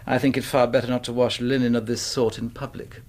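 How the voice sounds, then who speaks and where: A man's voice: mid-range voice, little pitch movement, brisk, a little mellow, lightly acted. An actor playing a bland, slippery man of about thirty in a radio drama, with prim, evasive politeness. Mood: ingratiating, uneasy.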